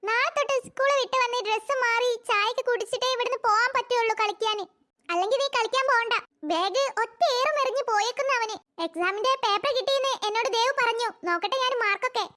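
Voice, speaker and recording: A performer voicing a stern, nagging cartoon mother who scolds children, in a pitched-up voice. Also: fast talker, bright, forceful tone. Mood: scolding. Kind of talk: cartoon voice acting.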